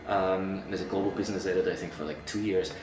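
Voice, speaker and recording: A middle-aged man from Austria, an entertainment journalist answering interview questions, animated and acting out quoted colleagues, with frequent restarts. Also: deep voice, fast, noisy background. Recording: echoey room, voice a bit far from mic.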